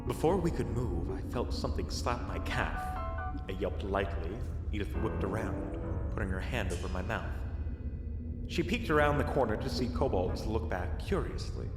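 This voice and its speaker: A man playing a wry fantasy adventurer mage, narrating his quest in a measured, dry way for an audio roleplay. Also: on the low side, expressive, lightly acted. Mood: measured, suspenseful. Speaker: narrator and player character. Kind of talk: audio roleplay, first-person storytelling with dialogue. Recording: echoey hall reverb over music.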